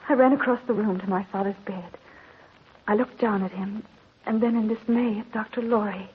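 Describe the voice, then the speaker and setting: An actress playing an anxious, devoted young married daughter in an old-time radio drama, earnest and theatrically emotional. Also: female voice, mid-range voice, fairly even intonation, moderate pace, close to an everyday voice. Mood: sorrowful narration. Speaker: narrator and lead character. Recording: lo-fi noisy old radio recording.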